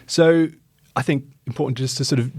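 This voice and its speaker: A man's voice: mid-range voice, a little fast, a little mellow, slightly rough, dry recording, studio quality. A man hosting a podcast, chatting informally and asking loosely phrased questions. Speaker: podcast host. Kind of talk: casual interview chat.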